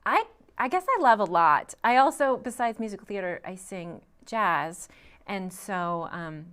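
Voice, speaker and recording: A woman's voice: mid-range voice, expressive, unhurried, fairly bright tone, smooth. A young adult woman, a trained singer, answering interview questions thoughtfully with frequent ums and restarts. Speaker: guest vocalist.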